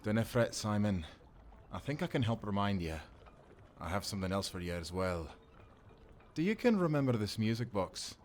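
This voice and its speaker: A man playing a kindly old seafaring grandfather in an audio drama, speaking calmly and reassuringly. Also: lowish voice, moderate pace, a little hiss or hum. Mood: gentle, reassuring. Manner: calm, reassuring, folksy. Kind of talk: cinematic audio roleplay acting.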